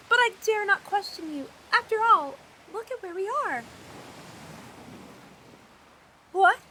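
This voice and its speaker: A woman's voice: very high voice, fairly bright tone, a little hiss or hum, full character voice. A woman voicing a devout, eager young priest girl in a fantasy audio drama, effusive, flustered and reverent. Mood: earnest, excited. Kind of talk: scripted fantasy audio roleplay.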